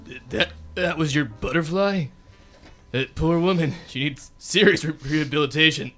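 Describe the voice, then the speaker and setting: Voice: mid-range voice, moderate pace, a bit bright, close to an everyday voice. A young performer playing a pompous, exasperated detective boss in a comic radio serial, wordy and indignant. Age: young adult. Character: pompous hard-boiled detective boss, exasperated and self-important. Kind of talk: comic radio serial acting with noir narration. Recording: guitar music behind the voice.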